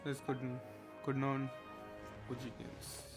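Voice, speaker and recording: A man's voice: mid-range voice, level tone, slow pace. A man with an Indian accent chatting casually as he livestreams a game. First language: Hindi or Bengali. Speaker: game streamer. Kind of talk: casual livestream chat over gameplay. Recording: game music behind.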